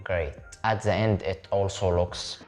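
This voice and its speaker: A man who teaches Arabic as a native speaker, leading a step-by-step beginner lesson in English and repeating each word slowly so the viewer can copy it. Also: low voice, steady tone, a little mellow, faint music behind. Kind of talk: slow step-by-step language lesson.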